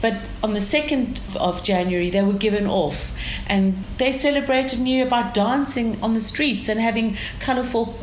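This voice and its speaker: A woman from South Africa with a South African accent, describing her city as an interview guest in an enthusiastic, storytelling way. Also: a little high. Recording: echoey room with faint ambient noise.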